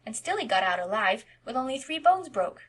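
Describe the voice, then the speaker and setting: A woman's voice: very animated, a little fast, husky, full character voice. A woman voicing a mischievous eight-year-old farm boy who speaks bluntly and insistently. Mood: defiant, chattering. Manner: high, loud and insistent childlike delivery.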